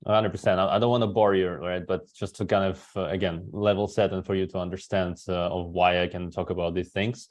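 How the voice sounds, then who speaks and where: A man's voice: deep voice, fairly even intonation, brisk, few pauses, no echo. A man from Kazakhstan, a native Russian speaker in his thirties, talking at length as a webinar guest and often tagging "right?". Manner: talkative, tags many sentences with "right?". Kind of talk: webinar talk.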